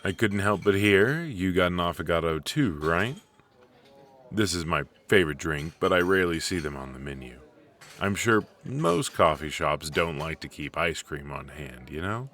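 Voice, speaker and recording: Deep-voiced, rambling, flirty coffee date played by a man. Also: lively intonation. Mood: friendly, charmed.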